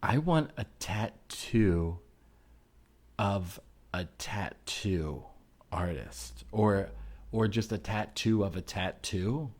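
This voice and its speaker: A man acts out an enthusiastic customer at a tattoo parlor. The voice is low, and the talk is chatty, candid and rambling. It is loose improvised comedy, full of oversharing. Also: animated, takes it slowly, darkish tone. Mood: eager, rambling. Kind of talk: improvised audio comedy sketch.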